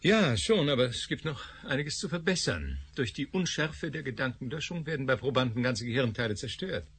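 A glib, sardonic scientist. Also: male voice, medium pitch, expressive, a little fast, fairly bright tone, slightly rough. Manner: sardonic, joking, brusque. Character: scientist Davis, glib sardonic male researcher. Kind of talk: radio drama acting.

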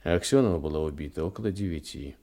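An actor playing a brisk, businesslike Soviet police detective in a radio drama, with clipped, questioning, commanding delivery. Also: male voice, very deep voice, dark tone. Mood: urgent, probing. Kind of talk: Russian radio drama acting.

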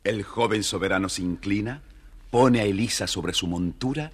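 A man narrating a scripted children's story in a measured, dramatic storyteller's manner. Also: lowish voice, a little hiss or hum.

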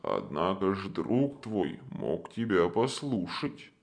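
A slow, swooping nightmare creature, acted out by a male narrator. It speaks in archaic, commanding phrases, menacing its foes as a storybook monster. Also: sits low, very animated, vocal fry, pitched below the performer's own voice, full character voice. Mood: sinister gloating. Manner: archaic, commanding, deliberate speech. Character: menacing nightmare monster taunting a knight and wizard.

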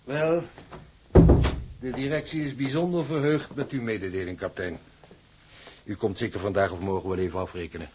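A man acting in a Dutch radio drama as a polite, smooth-talking but unyielding shipping office manager. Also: lowish voice, steady tone, normal speed, long pauses, background noise, lightly acted. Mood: formal, unyielding. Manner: formal, unctuous courtesy with swooping emphasis. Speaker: actor.

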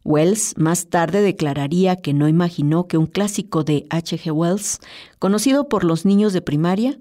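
A woman's voice: on the low side, moderate pace, warm tone. A woman narrating a scripted personal essay as a radio presenter. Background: music.

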